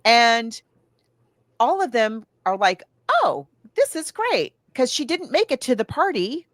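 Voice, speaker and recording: A woman's voice: fairly high pitch, very animated, takes it slowly, no echo, studio quality. A woman podcast co-host casually recapping a film, thinking aloud and backtracking as she goes, slipping into casual quoted asides. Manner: acts out characters' lines in casual asides.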